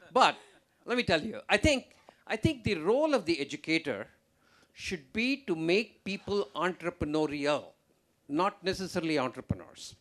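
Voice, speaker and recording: A man answering an audience question on a conference panel, explaining in an emphatic, animated way. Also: high-pitched, a little slow. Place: conference stage.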